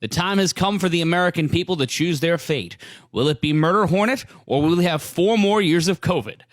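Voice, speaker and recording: A man playing a formal, deadpan television news anchor in a cartoon, reading scripted parody news. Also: fairly high pitch, brisk, dry recording, clean studio sound, lightly acted. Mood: solemn.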